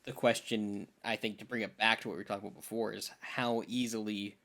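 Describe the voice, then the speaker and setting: A man's voice: lowish voice, little pitch movement, fairly quick, vocal fry, pushed and bright. A young man co-hosting a podcast, talking casually and emphatically in slangy, opinionated bursts. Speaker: podcast co-host. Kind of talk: casual film discussion chat.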